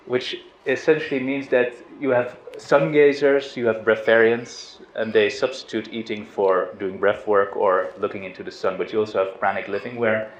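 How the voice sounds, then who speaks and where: A middle-aged man from the Netherlands speaks in a relaxed, hesitant way, restarting his sentences and laughing softly now and then. His voice sits on the low side. The sound is roomy and somewhat dull, with faint noise underneath. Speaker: spiritual teacher. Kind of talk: informal satsang talk. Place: outdoors on a river boat.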